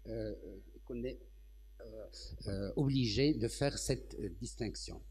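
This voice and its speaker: A man's voice: mid-range voice, takes it slowly, darkish tone. A man in his sixties from Morocco, speaking as a translator on a round-table panel, thoughtful with many hesitations and restarts, on a handheld mic on an indoor festival stage. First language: Arabic. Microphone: handheld microphone.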